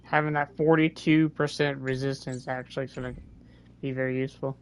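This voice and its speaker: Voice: medium pitch, little pitch movement, brisk, slight background noise. A video game streamer making casual commentary while playing.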